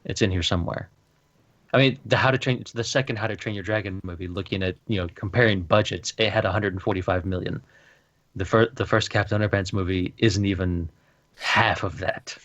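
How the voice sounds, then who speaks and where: A man's voice: deep voice, steady tone, a little fast, fairly bright tone. A man co-hosting a podcast, talking casually in a discussion. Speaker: podcast co-host. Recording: dull lo-fi voice mic.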